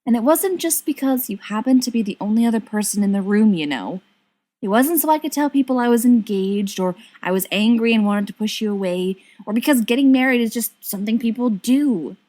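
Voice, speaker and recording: A woman voicing an earnest, hurt young fiancée in an audiobook, halting and then insistent. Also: a little high, lively intonation, mellow. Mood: earnest, frustrated.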